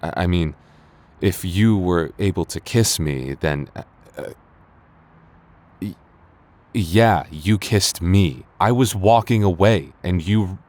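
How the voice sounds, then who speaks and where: Casting: male actor for a low-pitched young childhood friend in a romance audio roleplay, defensive yet smitten, hesitant and stumbling over words. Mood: awkward, tender. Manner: halting, stammering, hesitant. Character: young man, flustered, defensive childhood friend secretly in love.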